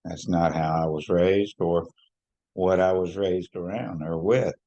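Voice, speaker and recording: A man in his sixties from Hawaii, telling his life story in an unhurried, reflective way, with many fillers and restarts. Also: low voice, fairly even intonation, dark tone, muffled recording. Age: 60s. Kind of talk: personal storytelling.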